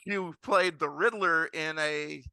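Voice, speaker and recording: A man's voice: a little high, fairly even intonation, takes it slowly, creaky, sharp tone, smooth, dry recording. A man hosting a podcast, chatting and asking questions in a casual interview style.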